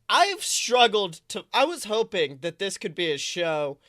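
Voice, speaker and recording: Male podcast host, bright sound in a dry recording, chatty, jokey, theatrical delivery. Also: sits high, measured pace, no echo. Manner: excitable, jokey, theatrical emphasis. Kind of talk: casual chatty pop-culture banter.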